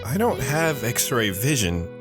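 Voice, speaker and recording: A man's voice: medium pitch, sing-song, slow, music in the background. An actor playing an awkward, apologetic teenage boy. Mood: unsure. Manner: apologetic, unsure.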